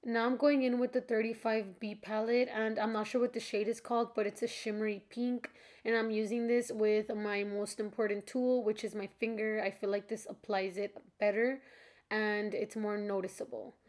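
A young woman narrates a tutorial in a mid-pitched, slightly congested voice. She is informal and chatty, talking to viewers as if they were friends. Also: little pitch movement, normal speed, a little mellow. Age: young adult. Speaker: makeup tutorial creator. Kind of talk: casual tutorial voice-over.

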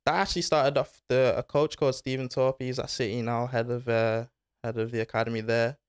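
A man's voice: mid-range voice, little pitch movement, fairly quick, clean tone, dry recording, studio quality. A young man, talking casually as a podcast guest, laid-back and full of slang. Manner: laid-back slang-filled talk, says bro and innit. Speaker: guest footballer. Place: small studio with foam panels. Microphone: desk mic on arm.

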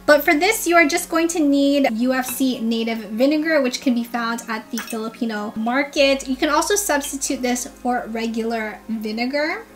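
A woman's voice: sits high, normal speed, few pauses. A young woman hosting a cooking video from her home kitchen, explaining each step to her viewers in an upbeat, instructive way. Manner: upbeat, instructive, addresses viewers as you guys. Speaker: cooking vlogger. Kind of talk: cooking tutorial narration.

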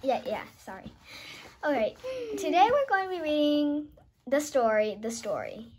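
Girl reading a kids storybook, high animated voice, bit hesitant, slight noise. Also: normal speed. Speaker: host and narrator. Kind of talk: children's storybook read-aloud.

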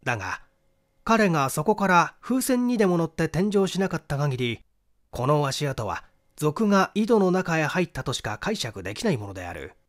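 A man narrating a mystery novel in a theatrical, deliberate storytelling manner. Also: fairly high pitch, very animated. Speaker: audiobook narrator and stage actor.

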